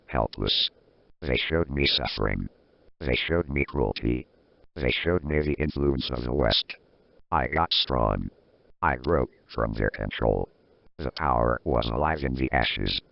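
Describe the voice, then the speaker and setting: A man's voice: very deep voice, gravelly, full character voice. A man voicing a menacing, defiant Soviet-made superhuman villain, speaking in terse, ominous declarations in a broadcast drama. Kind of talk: scripted emergency broadcast drama monologue. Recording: dull lo-fi broadcast audio.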